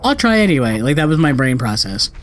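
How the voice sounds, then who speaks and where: A man's voice: mid-range voice, sing-song, fairly quick, fairly bright tone, a little hiss or hum. A man giving casual, rambling, sweary let's play commentary on a video game.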